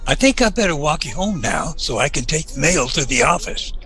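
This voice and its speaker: A bright, gravelly voice with some background noise, a man playing an obliging small-town deputy in an Old West radio play. He has an American drawl and a homespun, deferential way, with lively swings of pitch. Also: brisk, soft background music. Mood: polite, earnest. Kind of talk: western radio drama acting.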